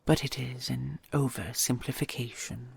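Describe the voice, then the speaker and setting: A woman playing a possessive, doting dragon queen in an audio roleplay, speaking in a breathy, creaky near-whisper with regal, formal tenderness. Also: rumbling low, fairly even intonation, heavily acted. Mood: earnest, possessive. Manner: slow, regal, formal endearments with soft sighs.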